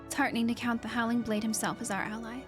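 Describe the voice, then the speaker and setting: Casting: woman as courteous, composed video game friend of the hero; slightly high voice. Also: flat delivery, quick pace, over music, lightly acted. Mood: welcoming. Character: courteous, steady gym-owning ally of the hero. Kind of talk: amateur video game character voicing.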